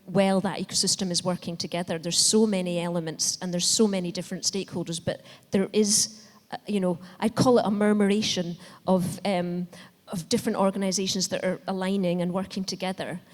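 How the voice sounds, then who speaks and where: A woman's voice: on the low side, little pitch movement, fairly quick, darkish tone. A woman from Scotland answering on a conference panel, enthusiastic and often restarting her phrases. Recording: reverberant hall.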